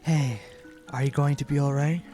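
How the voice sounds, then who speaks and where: A man voicing a steady, protective young knight in an audio drama, speaking in short, plain lines. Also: a little high, moderate pace, natural voice. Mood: concerned. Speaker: voice actor. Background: music.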